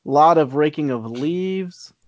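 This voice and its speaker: Male co-host, 40s, dry and reflective, deadpan humor, close and slightly muffled. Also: fairly high pitch, dry recording. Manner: wry, measured, reflective. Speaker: podcast co-host. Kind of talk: casual chat. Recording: dry, slightly dull lo-fi sound.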